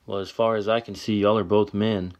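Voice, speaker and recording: A man's voice: lowish voice, little pitch movement, a little fast, highs cut off, natural voice. A frontier woman in a storybook western, plain-spoken and matter-of-fact, with only a light change from a male narrator's voice, as he reads her part. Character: frontier woman traveling companion.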